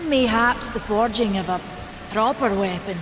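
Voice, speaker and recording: An actress playing a wise, solemn maker in a video game, measured and earnest with a Scottish accent, in a long reverb. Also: female voice, mid-range voice, background noise, close to an everyday voice. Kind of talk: dramatic video game cutscene acting.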